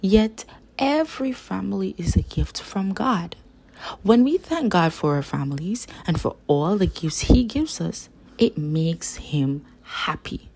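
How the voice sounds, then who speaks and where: A woman reading a children's picture book aloud as a storyteller, with gentle, deliberate, emphatic phrasing. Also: mid-range voice, expressive, creaky, slightly rough, low-quality recording.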